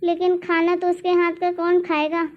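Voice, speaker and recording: A very high-pitched older mother-in-law from a family cartoon, acted out by a woman. She frets and nags in a complaining way, and the sound is slightly dull and muffled. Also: monotone, normal speed. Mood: grudging. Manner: fretful, nagging. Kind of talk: Hindi cartoon family drama voice acting.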